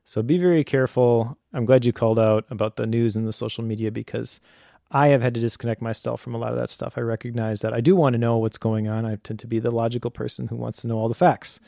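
A man co-hosting a conversational advice podcast in a measured, reflective way. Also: medium pitch, fairly even intonation, gravelly, warm tone, dry recording, clean studio sound. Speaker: podcast co-host.

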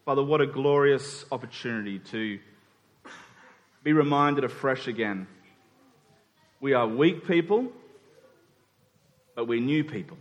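A man preaching a sermon in an earnest, emphatic way, repeating phrases and pausing deliberately. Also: mid-range voice, animated. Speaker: pastor preaching to his congregation. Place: church hall during a service. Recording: reverberant hall sound.

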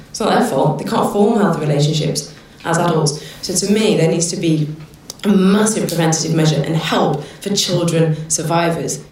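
A woman's voice: lowish voice, darkish tone. Woman, earnest panel speech, very reverberant hall, distant mic. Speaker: panel speaker. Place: large conference room. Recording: echoey hall, captured from far off.

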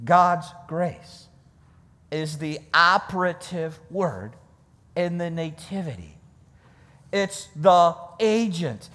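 A man preaching a sermon and reading scripture, with emphatic, deliberate phrasing that repeats for weight, recorded with long reverb. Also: on the high side, a bit bright.

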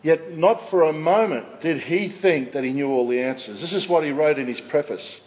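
A man preaches in a measured way, his fairly high voice ringing out with a long reverb tail. The recording sounds dull and muffled, as if captured in a large church sanctuary. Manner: measured preaching with occasional fillers and self-corrections; says he has a loud voice. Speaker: pastor. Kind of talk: expository sermon.

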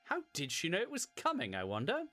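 A woman's voice: low-pitched, wildly swooping pitch, fast talker, fairly bright tone, faint music behind, heavily acted. A woman playing a sensible, dryly exasperated detective's sidekick in a comedy audio drama.

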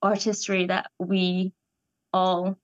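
A woman's voice: on the low side, monotone, takes it slowly, highs cut off. A young woman from Iran, raised in Canada, speaking as an actress in an interview, thoughtful and enthusiastic. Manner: enthusiastic, thoughtful, searching for words. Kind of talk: reflective interview conversation.

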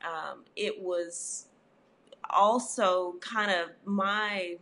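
A woman's voice: lowish voice, very slow. Reflective woman with many fillers. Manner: warm, reflective, frequent "you know" fillers. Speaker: therapist and author, guest. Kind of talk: conversational interview.

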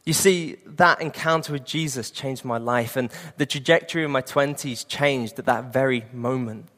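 A man's voice: medium pitch, moderate pace, fairly bright tone, in a large hall. A man in his late twenties preaching a sermon and sharing an earnest personal testimony. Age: 20s.

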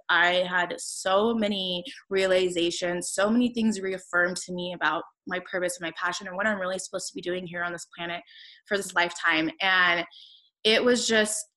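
A woman's voice: fairly low pitch, fairly even intonation, moderate pace, pushed and bright, poor audio. A woman around thirty, a coach and podcast host, talking earnestly in a casual conversation, rambling with many fillers and restarts. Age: 30s.

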